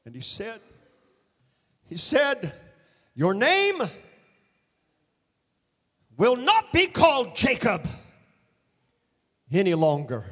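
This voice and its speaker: A man preaching a dramatic sermon, acting out voices in the story and rising to shouts at the peaks. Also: high voice, wildly swooping pitch, very slow, long pauses, big-room sound. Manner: dramatic, acts out biblical figures in quotes, shouts at peaks. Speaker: pastor.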